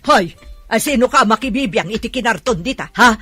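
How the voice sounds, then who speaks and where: An actress playing a loud, teasing factory boss in a radio comedy-drama. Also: female voice, fairly high pitch, animated, a little fast, faint music behind. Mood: loud, amazed.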